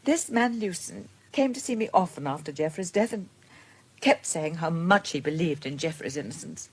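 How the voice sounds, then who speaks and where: Husky, earnest British woman. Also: on the low side, animated, moderate pace. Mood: wistful, regretful. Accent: British English. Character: gentle, independent middle-aged woman with a wretched marriage. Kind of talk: period radio drama acting.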